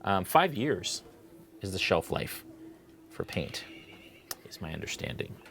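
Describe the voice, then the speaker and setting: A man hosting a live miniature painting tutorial, relaxed and chatty, thinking aloud as he paints. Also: sits low, measured pace, vocal fry, a little hiss or hum.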